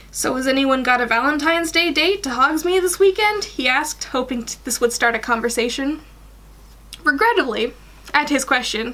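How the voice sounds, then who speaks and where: A young woman in her twenties reading fan fiction aloud in an animated way, stumbling over the odd sentence. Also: sits high. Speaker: guest story reader. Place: small attic bedroom.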